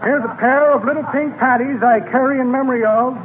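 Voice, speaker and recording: A man's voice: extremely high pitch, steady tone, a little fast. An actor playing a shifty, grandiose con man with florid, rambling patter, in 1940s radio comedy style. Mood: rambling, musing. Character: shifty, blustering old-time con man, windy and grandiose. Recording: tinny 1940s radio broadcast, clear noise.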